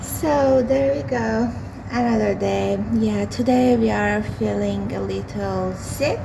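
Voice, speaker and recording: A woman with a hoarse voice chats slowly through a home vlog, pausing often on fillers. Her pitch sits in the middle range. Clear street and vehicle noise drifts in through open windows behind her. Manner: slow, unhurried, hesitant with many fillers. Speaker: vlogger. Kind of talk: casual daily vlog while cooking. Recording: home recording with outside traffic noise. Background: street and vehicle noise from outside.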